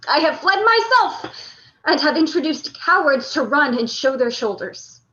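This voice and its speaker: A proud, lovesick general played by a woman declaims in Shakespearean style for a radio play. The voice is high and forceful, full of passion. The audio is slightly dull and lo-fi. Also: sing-song, normal speed, heavily acted. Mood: anguished, ashamed. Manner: declamatory, impassioned.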